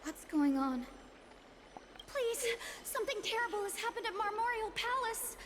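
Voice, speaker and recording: A woman's voice: very high voice, sing-song, moderate pace, noisy background. A woman voicing a small, panicky doctor bringing bad news in a video game, frantic and pleading. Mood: panicked. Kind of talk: animated video game voice acting.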